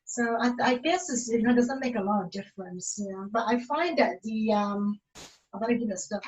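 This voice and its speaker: A woman from Malaysia, giving a casual cooking demonstration in a halting way, full of fillers and restarts. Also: fairly high pitch, darkish tone, slight background noise, rough recording.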